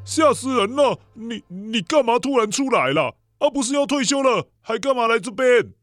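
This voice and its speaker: A man voicing an excitable, folksy old Taiwanese uncle who becomes a space hero in a children's radio play, full of exclamations. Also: very high voice, moderate pace, no room sound, clean studio sound. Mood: startled, scolding. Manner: exclamatory, folksy, stammering in indignation. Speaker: children's story show host. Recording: music loud behind the voice.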